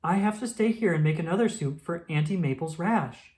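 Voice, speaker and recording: A woman voicing a caring but firm mother squirrel in a storybook, gentle and encouraging. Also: fairly low pitch, lively intonation, brisk, natural voice. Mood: coaxing.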